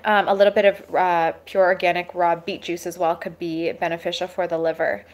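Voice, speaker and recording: A woman's voice: lowish voice, little pitch movement, brisk. A woman hosting a live Q&A on holistic health who has a sore throat and is losing her voice. She reads viewer questions and answers them casually, with many fillers and restarts. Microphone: webcam or phone livestream.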